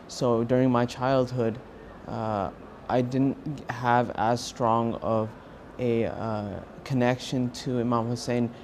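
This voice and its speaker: A young man with an American accent giving a personal testimony in an earnest, halting way with pauses. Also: medium pitch, level tone, darkish tone, a little hiss or hum. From California, United States. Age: young adult. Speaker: university student interviewed as a pilgrim.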